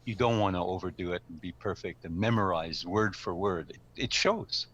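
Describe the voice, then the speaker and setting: A man's voice: sits low, moderate pace, fairly bright tone, breathy edge, lo-fi sound. A man giving conversational advice on public speaking as a guest coach, encouraging and repeating his points for emphasis.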